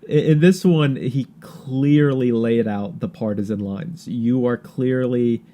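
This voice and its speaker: A man co-hosting a podcast in casual political chat, halting and repeating words as he searches for his thoughts. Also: medium pitch, warm tone. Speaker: podcast co-host.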